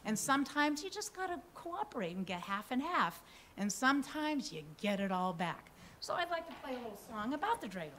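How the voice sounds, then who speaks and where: A woman's voice: high-pitched, big pitch swings, brisk, fairly bright tone, slight background noise. An older woman on a theater stage, chatting through an introduction to a song in a musing, slightly nervous way at a stand mic. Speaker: musician and storyteller. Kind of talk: casual spoken introduction on stage. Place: theater stage with live audience.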